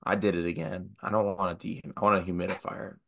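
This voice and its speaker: A man's voice: deep voice, level tone, fast talker, vocal fry, fairly bright tone. A man co-hosting a casual sports podcast, stuffed up with the sniffles, sounding nasal. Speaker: podcast co-host.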